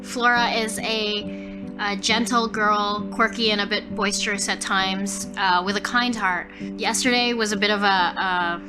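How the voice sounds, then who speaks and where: A woman's voice: medium pitch, steady tone, measured pace, over music. A woman improvising narration for her character as a player in a tabletop roleplaying game.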